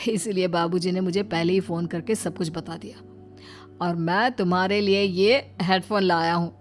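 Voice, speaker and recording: A woman voicing a calm family man who reassures and explains in a cartoon moral story. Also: lowish voice, moderate pace, pitched below the performer's own voice, close to an everyday voice. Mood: calm, reassuring. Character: calm, placating family men, husband and father-in-law. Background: faint music.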